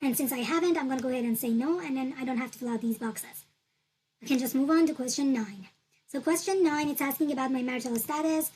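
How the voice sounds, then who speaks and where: A woman whose first language is Turkish, calmly walking through an online form step by step, repeating her points with fillers. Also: high voice, quick pace, breathy edge, poor audio. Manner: patient, repetitive instructional talk with fillers. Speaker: tutorial presenter. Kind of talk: screen-recorded walkthrough.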